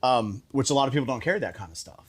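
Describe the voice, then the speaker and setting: A man's voice: mid-range voice, animated, racing. A man chatting casually as a show host, telling stories and repeating phrases for emphasis, with some mild swearing. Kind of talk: casual storytelling chat.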